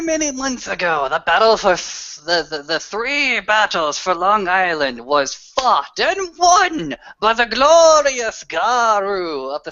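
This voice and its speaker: A tabletop roleplayer telling a tale in character, in grandiose, theatrical phrases with dramatic pauses. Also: medium pitch, bright, forceful tone, no echo, highs cut off. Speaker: player in a tabletop roleplaying game. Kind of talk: in-character storytelling in a tabletop game.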